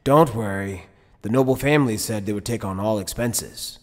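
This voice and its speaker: A man playing an easygoing but shrewd middle-aged head captain in an anime fan dub, speaking in a measured, wry, casual way. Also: fairly low pitch, darkish tone, natural voice. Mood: grave, measured. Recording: long hall reverb.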